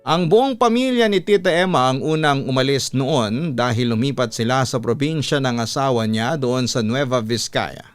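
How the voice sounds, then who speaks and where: A Filipino man narrating a scripted horror story as a storytelling host, in a measured, deliberate way with drawn-out words. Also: mid-range voice, clear, resonant tone, dry recording. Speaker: radio announcer and storyteller, host. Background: background music.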